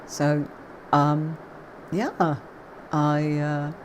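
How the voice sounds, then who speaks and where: A woman's voice: sits low, level tone, extremely slow, noisy background. A woman from Yorkshire hosting a live-stream tarot lesson, chatty and gushing, reading out viewers' comments. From Yorkshire, England. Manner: effusive and chatty, many gushing compliments.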